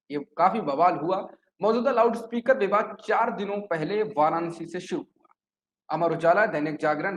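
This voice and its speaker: A man presenting a scripted news report in an explanatory way, occasionally stumbling over words. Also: sits high. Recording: echoey room with clear background noise.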